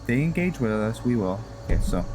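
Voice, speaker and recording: A man's voice: medium pitch, very animated, fairly quick, heavy background noise. A man giving casual, informal playthrough commentary and roleplay, thinking aloud as he goes. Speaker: gaming host and role-player. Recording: loud game music and rain behind. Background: game music, rain sounds.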